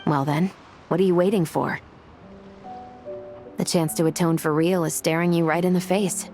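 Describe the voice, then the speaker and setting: A woman playing a stern, principled official in a video game, speaking in a formal, firm and measured way. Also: lowish voice, fairly even intonation, darkish tone, soft background music, close to an everyday voice. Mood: stern, urging. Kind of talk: dramatic video game voice acting.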